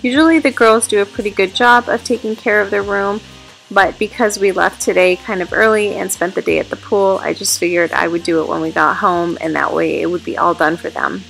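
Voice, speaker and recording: A chatty, upbeat woman in her thirties talks straight to her viewers about her home and family. Her mid-range voice has a gravelly, fry-tinged edge, and faint music plays underneath. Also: mid-range voice, steady tone, fairly quick, a bit bright, poor audio. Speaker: home vlogger. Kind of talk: casual vlog narration. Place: family home, bedrooms and kitchen.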